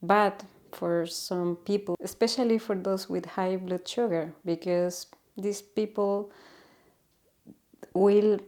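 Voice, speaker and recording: A woman's voice: mid-range voice, fairly even intonation, slow, a little mellow. A woman from Chile with a Chilean Spanish accent, hosting her channel and explaining a topic in English, hesitant and searching for words. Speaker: channel host. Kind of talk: informal explanatory talk to camera.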